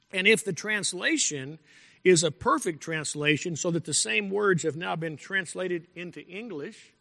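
A male pastor preaching a sermon in a deliberate, emphatic way, repeating key phrases and clearing his throat now and then. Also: fairly high pitch, bright, forceful tone. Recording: long echo from a large hall.

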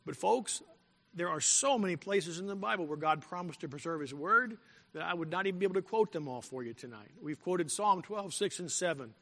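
A male pastor preaching a sermon in a deliberate, emphatic way, repeating key phrases and clearing his throat now and then. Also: sits high, expressive, pushed and bright. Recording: long echo from a large hall.

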